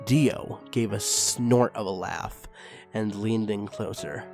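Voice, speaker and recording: A man reading fan fiction aloud in an expressive narrating style, rising in intensity on the dramatic passages. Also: on the low side, slow pace, over music.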